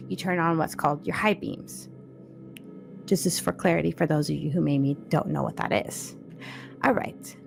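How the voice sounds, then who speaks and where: Female read-along host, lowish register, informal and direct with the audience, light music bed. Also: creaky. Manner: informal, addresses listeners directly. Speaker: podcast co-host and story reader. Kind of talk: read-along of a scary short story with chatty intro.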